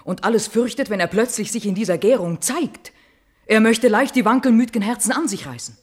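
Husky, solemn royal attendant. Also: female voice, on the high side, fairly quick. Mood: urgent reporting. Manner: formal, grave declamation. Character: solemn female attendant bearing bad news to queen. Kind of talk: classical verse tragedy radio play.

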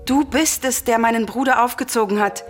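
A woman's voice: a little high, brisk, faint music behind, close to an everyday voice. An actress playing a fervent, vengeful daughter of a murdered king in a classical radio tragedy, solemn and declamatory. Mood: fervent joy.

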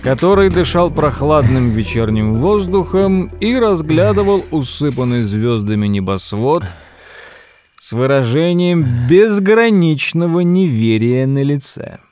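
An actor playing a nephew who tells his story in expressive, dramatised first-person narration for an audio drama. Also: on the high side, unhurried. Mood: brisk. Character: storytelling nephew recounting his odd uncle's troubles. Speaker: narrator and character.

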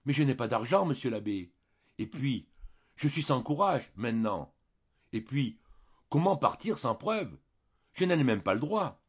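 A man's voice: mid-range voice, sing-song, unhurried, pauses often, slightly rough, muffled recording, voice pushed up. A man voicing a timid, distressed countess, a wronged wife who pleads plaintively and helplessly. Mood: sobbing, helpless.

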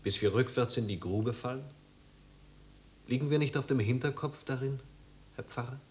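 An actor playing a tormented, melancholy young wanderer in a 1950s radio drama, brooding and anguished. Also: male voice, mid-range voice, moderate pace, close to an everyday voice. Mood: brooding, bitter. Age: young adult. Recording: old radio recording with hiss and hum.

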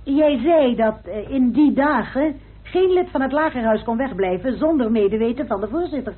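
A high-pitched, precise woman investigator in a radio play. She sounds measured and assured, on a muffled, tinny old recording with a slightly husky edge. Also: lively intonation. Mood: triumphant reveal. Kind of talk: radio drama acting.

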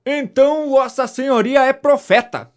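A man plays a breezy young idler in a period comedy audio drama. His voice is notably high for a man, with a Brazilian accent and a teasing, mock-courteous manner. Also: moderate pace. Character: breezy, teasing young gentleman, horse-loving idle cousin. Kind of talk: audio drama of a 19th-century stage comedy.

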